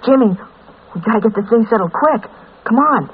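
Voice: medium pitch, normal speed, natural voice. An actor playing an earnest, protective young boy, the eldest of orphans, in a folksy, plain-spoken old-time radio drama style. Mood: firm, reassuring. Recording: scratchy, tinny 1940s radio recording.

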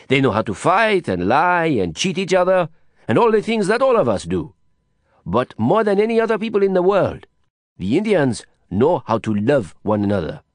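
A man's voice: a little high, no echo, clean studio sound, pitched above the performer's own voice. A man voicing a worldly, witty Frenchman, talking in a grand, lecturing, emphatic way. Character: worldly older Frenchman, witty, theatrical, expansive.

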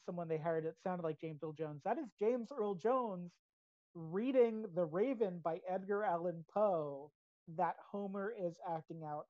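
A woman's voice: lowish voice, dry recording, dull audio. A woman with an American accent chatting casually about pop culture as a podcast co-host.

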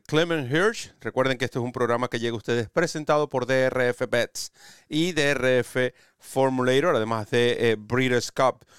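A man's voice: medium pitch, a bit bright, clean tone, no room sound, studio quality. A man hosting a horse racing show in Spanish, presenting and previewing races.

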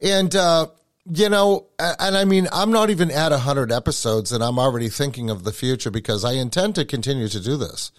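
A man with a New England accent, hosting a podcast and chatting in an animated, casual way, often repeating words as he starts a thought. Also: mid-range voice, a little fast, dry recording, studio quality. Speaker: podcast host.

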